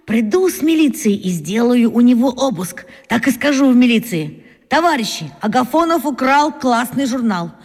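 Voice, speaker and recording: Scheming schoolboy in long reverb. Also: female voice, on the high side, expressive, normal speed, darkish tone. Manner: muttering to himself in bursts. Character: scheming, self-important schoolboy playing detective. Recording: long reverb, as inner thoughts.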